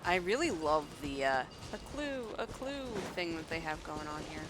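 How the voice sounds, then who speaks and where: A woman's voice: deep voice, very animated, moderate pace, background noise. A woman livestreaming a video game with casual, wry, rambling commentary, mostly talking to herself. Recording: loud game music behind. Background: loud game music.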